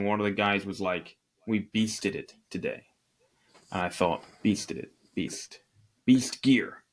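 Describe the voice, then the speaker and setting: Man, Scottish accent, lowish voice, podcast guest, candid storyteller. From Northeast Scotland. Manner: candid, self-deprecating, storytelling. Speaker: podcast guest, e-commerce entrepreneur. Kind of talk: conversational interview answers.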